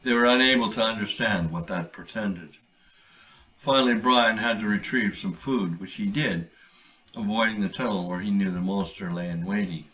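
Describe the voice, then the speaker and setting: A man reading aloud a short story he wrote, in a measured, deliberate way. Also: lowish voice, sharp tone, distant mic, low-quality recording. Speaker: author reading his own story.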